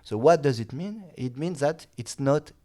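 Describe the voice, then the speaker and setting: A man, a guest expert in an interview, speaking haltingly with many "uh"s and restarts. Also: fairly high pitch, lively intonation, warm tone. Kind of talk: casual interview talk.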